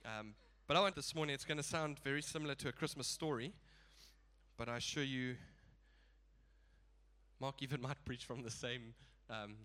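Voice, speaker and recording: Male preacher with a head cold, nasal and bright, chatty with laughing asides. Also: mid-range voice, normal speed. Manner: casual, chuckling asides. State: stuffy blocked nose. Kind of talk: sermon.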